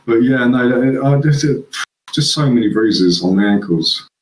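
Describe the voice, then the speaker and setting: A man's voice: medium pitch, lively intonation, unhurried, echoey room, a little hiss or hum, rough recording. A man from England, a guest, chatting casually and rambling through his childhood stories. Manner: rambling, laughs at own stories. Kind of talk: casual chat.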